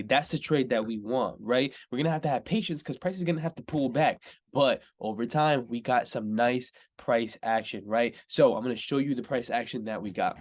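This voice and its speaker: Casual young man breaking down a trade. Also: medium pitch. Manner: casual, says "right?" constantly, excitable. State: a little congested. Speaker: forex trading mentor. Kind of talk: screen-share chart breakdown.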